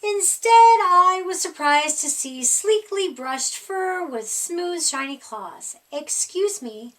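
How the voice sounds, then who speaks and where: A woman reading a picture book aloud as expressive children's story narration. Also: very high-pitched, slow pace, recorded from a distance, low-quality recording. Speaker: storybook reader.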